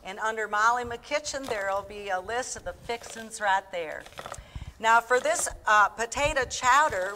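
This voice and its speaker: A woman's voice: a little high, sharp tone, slight background noise. A woman playing a folksy, chatty Midwestern housewife who hosts a comedic live cooking show, addressing folks directly.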